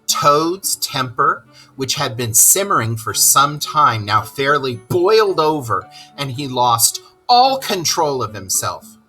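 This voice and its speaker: A man reading a children's story aloud with expressive, dramatic, deliberate narration. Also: mid-range voice, faint music behind, poor audio.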